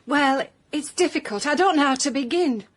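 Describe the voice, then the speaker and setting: A woman's voice: high-pitched, moderate pace, close to an everyday voice. A woman playing a genteel, anxious middle-aged wife with a Northern English accent, prim and hesitant, in a comic radio drama. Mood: anxious, confiding.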